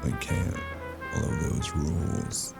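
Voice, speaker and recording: A man playing a world-weary, haunted noir smoker in an audio drama, brooding and sardonic, speaking in a measured way. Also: natural voice. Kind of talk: noir audio drama with first-person narration. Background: loud cinematic music.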